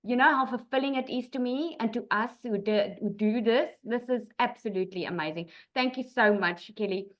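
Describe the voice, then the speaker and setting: South african woman hosting an online call, soft n emotional, higher voice. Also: brisk, hardly any pauses. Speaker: host of an online business group.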